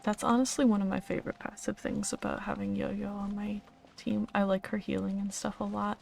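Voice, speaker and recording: A woman's voice: medium pitch, level tone, brisk, vocal fry, darkish tone. A tired woman streaming a video game, muttering and whispering casual asides to herself. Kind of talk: casual gameplay commentary. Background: game music.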